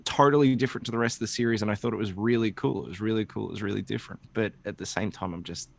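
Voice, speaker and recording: A man co-hosting a podcast in casual chat, rambling in an animated, opinionated and sweary way. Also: lowish voice, rapid-fire, gravelly. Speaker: comic book podcast co-host.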